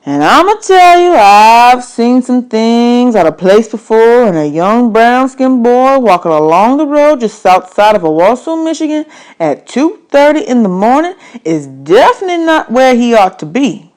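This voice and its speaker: A woman's voice: on the high side, sing-song, moderate pace, a little mellow, voice pushed up. Woman voicing an emphatic, commanding but kindly man. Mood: loud, insistent. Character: adult male stranger, commanding but kindly, calling out at night. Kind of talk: children's audiobook read-aloud.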